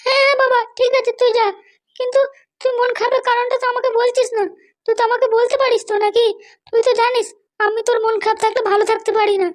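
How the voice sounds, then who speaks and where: A woman's voice: very high voice, flat delivery, quick pace. A woman voicing a doting but bossy mother in a cartoon, fretful, insistent and nagging. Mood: worried, coaxing. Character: doting, anxious, bossy mother of a grown son. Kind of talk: cartoon dialogue.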